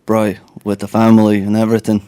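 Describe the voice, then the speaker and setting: A man's voice: fairly low pitch, monotone, fast talker, mellow, a little hiss or hum. A young man from Latvia, a guest rapper chatting in a radio studio, rambling and laid-back with lots of fillers. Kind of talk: casual interview chat.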